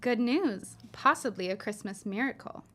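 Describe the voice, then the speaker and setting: Casting: eager young lady, fairly high voice, earnest, emphatic period radio-drama delivery. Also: lively intonation, normal speed, sharp tone. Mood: excited, earnest. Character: bright, eager young woman, helpful newspaperman's daughter. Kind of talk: period radio-style audio drama acting.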